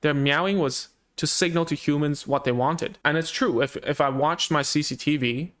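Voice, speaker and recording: A man's voice: on the high side, normal speed, fairly bright tone. A man whose first language is Cantonese, a speech therapist talking casually to parents about child language, with many fillers and restarts. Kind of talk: casual explanatory talk to parents.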